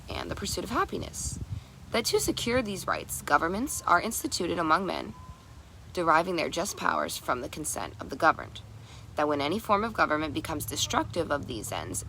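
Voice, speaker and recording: A woman reads a formal old document aloud in a bright, lowish voice with plenty of creak. Her delivery is halting, tripping on longer words and starting them again. There is clear noise in the recording. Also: poor audio. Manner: halting, stumbles over long words and repeats them. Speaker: reader. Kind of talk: read-aloud of a historical document.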